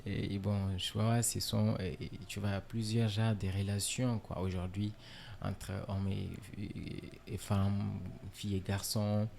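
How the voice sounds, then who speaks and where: A man from Niger, a musician guest in a casual interview, relaxed and filler-heavy, dropping in English words. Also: lowish voice, measured pace, creaky, slight background noise.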